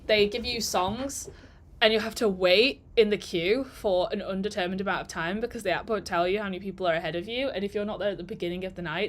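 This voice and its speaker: A woman in her twenties, chatting and telling stories on a live work stream, rambling and laughing between thoughts. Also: mid-range voice. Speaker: digital marketer vlogger. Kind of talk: casual storytelling during a live work stream.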